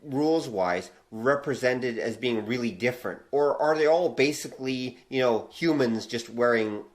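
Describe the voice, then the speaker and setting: Male podcast host, medium pitch, conversational and analytical, measured phrasing. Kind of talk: casual podcast discussion.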